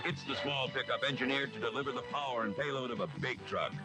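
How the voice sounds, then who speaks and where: A man's voice: medium pitch, fast talker. An American man reading a punchy scripted radio truck-style commercial as an announcer. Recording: radio ad over loud music.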